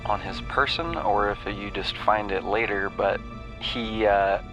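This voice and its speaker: Male game-recap host, lowish pitch, rambling casual delivery, sweary, music underneath. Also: a little slow, rough recording. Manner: offhand, rambling, swears freely. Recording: music behind the voice.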